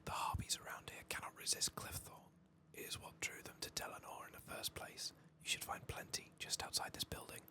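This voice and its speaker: A man doing soft-spoken, whispered ASMR game commentary in a hushed, breathy, gravelly voice, murmuring without hurry. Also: a little fast, hardly any pauses. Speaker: ASMR game playthrough host.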